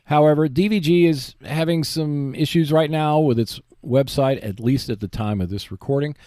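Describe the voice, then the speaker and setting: A man's voice: medium pitch, warm tone, no room sound, studio quality. A man hosting a solo gaming podcast, explaining and giving tips in a conversational way. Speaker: podcast host.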